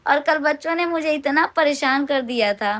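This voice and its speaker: Hoarse, high-voiced woman vlogger. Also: moderate pace, fairly bright tone. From Uttar Pradesh, India. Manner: chatty, addresses viewers as guys. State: hoarse, sore throat and coughing. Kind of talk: casual vlog to camera. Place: outdoors at a crowded temple and at home.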